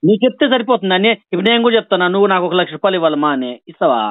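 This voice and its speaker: A man's voice: high voice, animated, racing, dry recording. A man arguing over a phone call as a borrower, sarcastic and insistent, repeating himself. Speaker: borrower on a debt collection call. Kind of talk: argumentative phone conversation. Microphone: phone line. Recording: thin, band-limited phone call recording.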